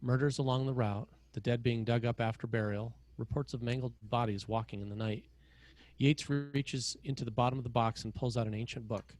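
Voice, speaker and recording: A man's voice: mid-range voice, steady tone, a little fast, a little mellow, no echo, rough recording. A man reading scene headings and stage directions as the narrator of a screenplay table read.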